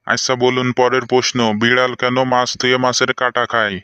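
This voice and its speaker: A man plays a stern, exasperated cartoon boss running a job interview. He questions formally and scolds in an even, narrow-pitched voice, with noticeable noise in the recording. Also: brisk, natural voice. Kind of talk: comic cartoon dialogue.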